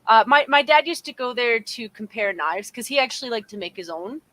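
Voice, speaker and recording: A woman's voice: on the high side, animated, fairly quick, a bit bright. A Canadian woman chatting casually on a podcast, rambling with lots of fillers and restarts. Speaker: podcast co-host. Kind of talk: casual chat.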